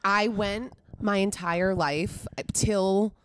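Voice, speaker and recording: A woman comedian chatting as a podcast guest, telling animated stories and swearing casually. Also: mid-range voice, drawn-out words, slight background noise. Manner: animated storyteller, swears, acts out quotes. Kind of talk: casual chat.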